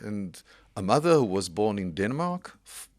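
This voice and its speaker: A man in his sixties from Israel, a podcast guest telling his family history in an unhurried way with many pauses. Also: lowish voice, very animated. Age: 60s. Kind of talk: casual interview chat.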